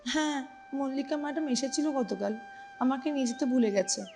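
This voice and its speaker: A proud, dramatic little pink garment from a storybook, acted out by a woman, talks in a high feminine voice with indignant flair and a sprinkle of English words. Music plays along with the tale. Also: a little fast, darkish tone. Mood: proud, curious.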